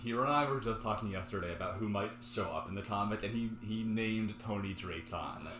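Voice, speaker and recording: A man's voice: fairly low pitch, brisk, noisy background. A man hosting a podcast, joking animatedly while commenting on a table reading. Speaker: podcast host. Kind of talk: casual table-read banter. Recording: roomy echo with music behind.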